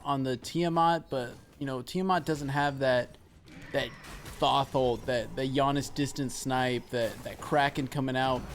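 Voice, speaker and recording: Man, middle-pitched voice, esports co-caster, conversational analysis, live game commentary. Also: a little hiss or hum. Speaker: esports shoutcaster.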